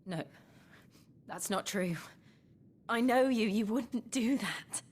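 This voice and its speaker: An actress playing a grave, tender young noblewoman who was once a war captive, with a British accent and a hushed, earnest manner, in a video game. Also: a little high, slow pace. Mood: concerned. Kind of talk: dramatic video game cutscene acting. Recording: music under the voice.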